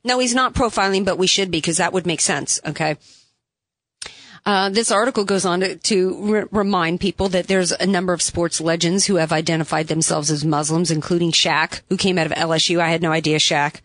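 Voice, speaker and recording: Woman talk radio host, opinionated, emphatic, slightly hoarse, dry close studio sound. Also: on the low side, steady tone, brisk, a bit bright, dry recording. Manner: opinionated, emphatic, sarcastic asides. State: losing her voice, has a cough drop in. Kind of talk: political talk radio monologue.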